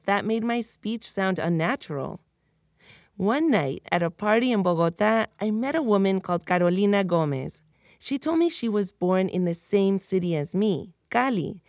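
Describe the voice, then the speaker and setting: A woman's voice: medium pitch, a little mellow, no room sound, studio quality. Woman telling her story. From United States (Miami). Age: 30s. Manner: candid, personal storytelling. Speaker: reporter. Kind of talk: scripted podcast narration.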